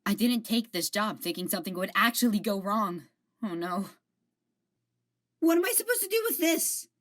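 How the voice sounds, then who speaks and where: A woman's voice: medium pitch, big pitch swings, moderate pace. A woman playing a nervous young female security officer in an amateur audio drama, blunt and anxious. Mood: uneasy.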